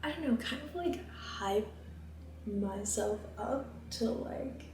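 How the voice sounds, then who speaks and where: A woman's voice: on the high side, expressive, slow pace, a little mellow, a little hiss or hum. A young woman vlogging casually while she cooks, chatty and rambling, with plenty of fillers. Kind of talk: casual chat while cooking. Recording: roomy kitchen, mic at a distance.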